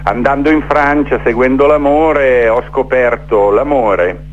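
A middle-aged man speaks reflectively as a radio interview guest, telling stories and building rhythmic lists. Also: mid-range voice. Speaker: theatre maker interviewed as guest. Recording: dull, noisy phone-in with hum.

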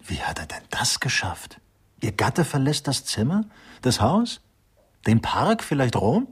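An actor playing a sardonic detective's sidekick with a mocking delivery in a satirical radio crime drama. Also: male voice, mid-range voice, huge pitch swings, husky, exaggerated voice. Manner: mocking, drawn-out asides. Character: sardonic male investigator's sidekick, sceptical of art.

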